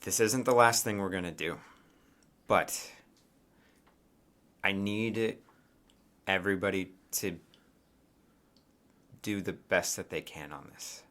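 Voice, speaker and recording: A man playing a grave, determined leader in a tabletop game, briefing his crew in a solemn, measured way with pauses between phrases. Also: low-pitched, a bit bright, exaggerated voice. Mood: grave, resolute.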